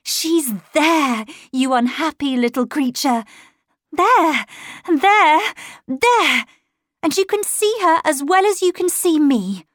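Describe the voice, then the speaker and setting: A desperate young governess, acted by a woman narrator, pitched high and swinging widely. She is insistent and accusing, pressing every phrase with passion in a storybook drama. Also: lively intonation, takes it slowly, a bit bright, no room sound, clean studio sound, full character voice. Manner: insistent, emphatic, impassioned.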